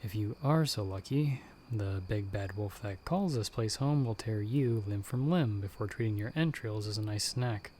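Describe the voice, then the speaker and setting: A man playing a stern, sardonic monster hunter who guides the listener in hushed, urgent tones in an ASMR audio roleplay. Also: lowish voice, moderate pace, few pauses, slight background noise, natural voice. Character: gruff, sardonic male monster hunter, a disembodied guiding voice.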